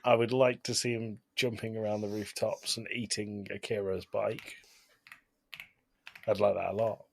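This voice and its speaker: A man's voice: fairly low pitch, level tone, normal speed, vocal fry. A man co-hosting a podcast, chatting casually with wry, joking interjections. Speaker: podcast co-host. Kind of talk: casual comedic chat.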